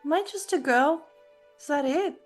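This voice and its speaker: High-voiced earnest woman in character. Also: normal speed, soft background music. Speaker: player voicing B, a drow. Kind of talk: in-character tabletop roleplay.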